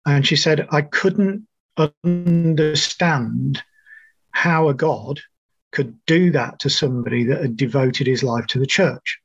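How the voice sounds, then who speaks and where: A middle-aged man with a New Zealand accent, a nurse guest telling his story in a measured, thoughtful way. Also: a little high, slightly rough, no room sound, dull audio. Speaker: interview guest, registered nurse. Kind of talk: conversational interview storytelling.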